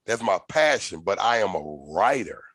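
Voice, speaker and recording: Animated low-voiced male podcast host. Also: normal speed, dry recording, clean studio sound. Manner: animated, profane storytelling with slang. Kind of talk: casual podcast conversation.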